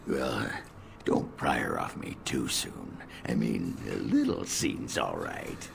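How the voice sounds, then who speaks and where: An actor playing a crude, boastful dwarf warrior in a fantasy video game, with guttural, coarse, swaggering banter. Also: male voice, extremely low pitch, big pitch swings, slow, noisy background, full character voice. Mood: eager, nervous. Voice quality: gravelly, guttural.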